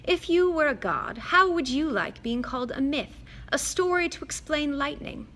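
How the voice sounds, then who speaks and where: A woman voicing a wise male centaur teacher who speaks calmly and gravely. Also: high-pitched, moderate pace, pitched above the performer's own voice, full character voice. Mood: probing.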